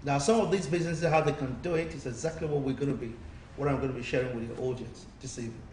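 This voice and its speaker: A man's voice: quick pace, a little mellow. Persuasive male business coach, roomy sound. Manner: persuasive, repetitive pitch-style delivery. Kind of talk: interview answers. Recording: roomy, distant mic, faint noise.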